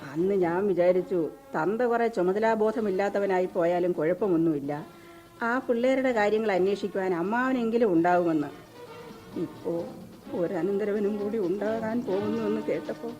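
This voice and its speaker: A woman's voice: mid-range voice, normal speed, dark tone, highs cut off, soft background music, close to an everyday voice. An actress playing a reproachful older mother in a radio drama, scolding emphatically in a southern Kerala Malayalam accent. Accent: Kerala Malayalam, southern Travancore. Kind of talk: mid-century Indian radio drama acting.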